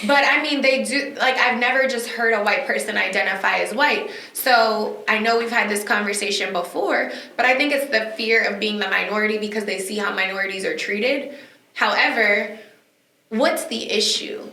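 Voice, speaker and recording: Bright, emphatic woman in an echoey room. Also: medium pitch. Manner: animated, emphatic, quick asides. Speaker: podcast host. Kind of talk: casual chat. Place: recorded on location, indoors.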